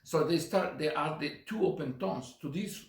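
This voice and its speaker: A man's voice: a little high, normal speed. A middle-aged man from Chile with a Chilean Spanish accent, teaching a percussion lesson with many fillers and counting beats aloud. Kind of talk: relaxed drum lesson. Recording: echoey room with faint noise.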